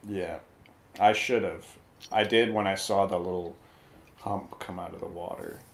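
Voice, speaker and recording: A man reviewing a film in casual radio chat, halting as he searches for words. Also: low voice, steady tone, gravelly.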